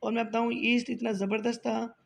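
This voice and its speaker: A woman with a hoarse, heavily gravelly voice walks viewers through a home recipe. She speaks in a fairly flat, even tone, chatty and polite, and keeps addressing her audience respectfully. The rasp of a sore throat sits on every phrase. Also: on the high side, fairly quick, slight background noise. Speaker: cooking channel host. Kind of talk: home cooking tutorial.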